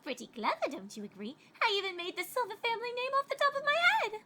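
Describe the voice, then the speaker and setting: A woman's voice: very high-pitched, huge pitch swings, fast talker, bright, forceful tone, heavily acted. A woman voicing a refined, dramatic female unicorn fashionista telling a spooky tale in a fan fiction reading. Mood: pleased, smug. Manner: theatrical, genteel, calls others darling.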